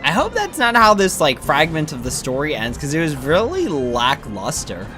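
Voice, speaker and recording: A man's voice: on the high side, huge pitch swings, normal speed. A man giving casual, rambling gameplay commentary as a streamer, talking informally. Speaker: game streamer. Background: game music.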